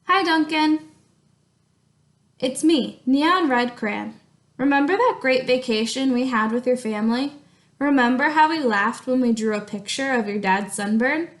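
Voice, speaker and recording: A woman voicing an indignant, abandoned female crayon from a storybook, with dramatic, drawn-out reproach. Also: sits high. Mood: chirpy reminiscing.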